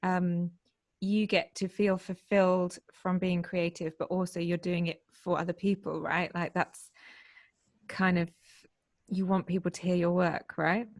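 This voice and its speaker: Low-pitched woman, agreeable casual chat. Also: little pitch movement, a little slow, dry recording, clean studio sound. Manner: agreeable, tentative, fills with "like" and "kind of".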